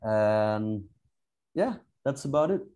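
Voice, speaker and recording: A man in his late twenties from Belgium, answering questions in a measured, thoughtful way on a video call. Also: on the low side, expressive, dark tone. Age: 20s. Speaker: exchange student. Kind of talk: casual video call chat.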